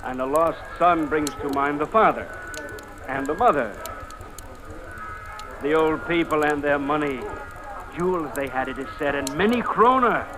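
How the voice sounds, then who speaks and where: An actor playing an insinuating, accusing village man with deliberate, formal phrasing in a 1950s radio drama. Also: fairly high pitch. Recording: noisy old radio broadcast recording.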